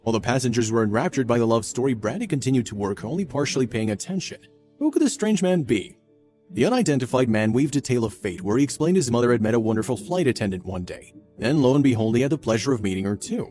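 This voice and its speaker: Fast-talking male narrator, mellow tone. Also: medium pitch, animated. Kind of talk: scripted viral story narration. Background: faint background music.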